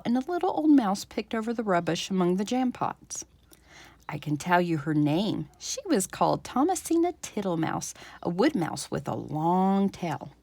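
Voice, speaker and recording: A woman reading a children's storybook aloud as a library storyteller, with expressive, dramatic emphasis. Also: medium pitch, no room sound.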